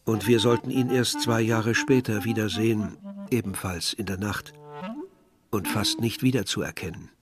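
An actor playing a reflective village man, narrating a literary radio play in a measured, bitter tone. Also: fairly low pitch, steady tone, close to an everyday voice. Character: reflective Algerian village man recalling war and dead brother. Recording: dry studio, faint music behind.

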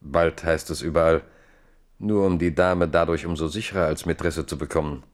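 Give a historical radio play its chronicler, with a very deep voice. He narrates gravely and deliberately, in literary phrases that he sets out with care. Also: fairly even intonation. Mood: grave, reflective. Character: grave male chronicler of a king's fate.

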